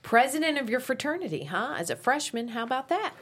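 A woman's voice: high-pitched, sing-song, fast talker, fairly bright tone, slightly rough, full character voice. An actress at a table read playing a sing-song, meddling mother. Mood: excited. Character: chirpy meddling Midwestern mom.

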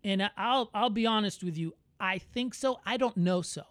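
A man with an American accent co-hosting a podcast, talking in a candid, reflective and measured way. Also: high voice, a bit bright, no echo. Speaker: podcast co-host. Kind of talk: casual conversational podcast.

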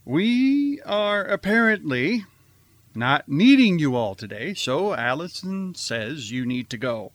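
A man's voice: fairly high pitch, sing-song, takes it slowly, slight background noise. A man playing a bumbling, earnest, zombie-loving host of a radio theater show, delivering dramatic introductions. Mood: sheepish.

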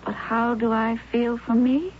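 An actress playing a remorseful woman with a guilty secret, in confessional, halting phrases in an old-time radio drama. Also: a little high, steady tone, close to an everyday voice. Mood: anguished, confessional. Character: remorseful woman in self-imposed exile, secretly alive. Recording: muffled old radio recording, faint hiss.

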